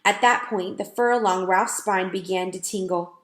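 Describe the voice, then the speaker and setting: A woman reading a children's storybook aloud in a clear, expressive way. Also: mid-range voice, moderate pace. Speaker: read-aloud reader. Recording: roomy echo.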